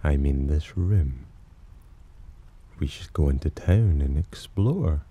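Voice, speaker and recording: A man playing a sly, possessive demon in an ASMR roleplay, speaking in a smug, teasing, softly commanding manner with a husky voice. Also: lively intonation, slow, heavily acted. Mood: teasing, calm. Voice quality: very deep, dark, husky. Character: possessive, amused, sly male demon protector. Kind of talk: ASMR roleplay, slow intimate acting.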